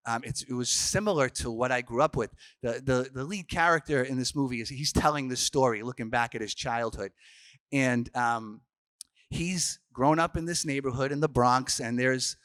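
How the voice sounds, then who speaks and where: A man's voice: mid-range voice, moderate pace, a bit bright, dry recording. A man, a Franciscan priest telling stories in a casual youth workshop talk, full of restarts and fillers. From Boston, Massachusetts. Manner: chatty storyteller, frequent restarts and fillers.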